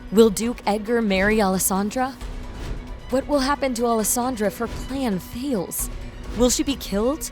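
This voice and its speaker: A woman's voice: a little high, moderate pace, darkish tone, faint music behind. A woman giving dramatic scripted narration of a romance story.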